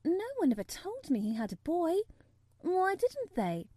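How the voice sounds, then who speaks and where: Woman voicing a high-pitched, wide-eyed curious young girl. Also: wildly swooping pitch, a little slow, mellow, dry recording, studio quality, heavily acted. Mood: curious, surprised. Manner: gasping, faltering, emphatic questions. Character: frightened, curious young girl, forthright and wondering.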